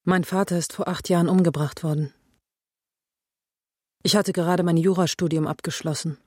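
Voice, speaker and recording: A woman's voice: fairly low pitch, fairly even intonation, moderate pace, darkish tone, dry recording, close to an everyday voice. Woman investigator played by an actress in radio drama: serious, controlled, matter-of-fact storytelling, close dry studio. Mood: grave, controlled. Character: serious, determined woman investigator recounting her father's fate.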